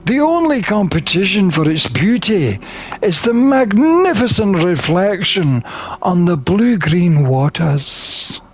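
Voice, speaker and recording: A man reading a visual novel aloud in an expressive storytelling style. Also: sits high, slow. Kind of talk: expressive scripted narration and character reading.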